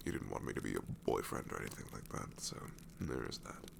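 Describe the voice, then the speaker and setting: Man voicing a very deep, gravelly ancient demon. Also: lively intonation, brisk, heavily acted. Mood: dry, musing. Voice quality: very deep, gravelly, often breaking into whisper. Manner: wry, world-weary, reassuring. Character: ancient sassy male shadow demon, grudgingly tender. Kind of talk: ASMR roleplay monologue.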